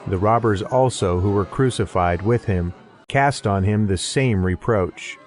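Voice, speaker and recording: A man's voice: on the low side, a little slow. A man giving a scripted narration of an audio Bible. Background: music behind the voice.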